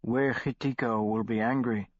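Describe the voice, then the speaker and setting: Man voicing solemn old shaman, measured, dry dull audiobook recording. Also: mid-range voice, steady tone, dry recording, lightly acted.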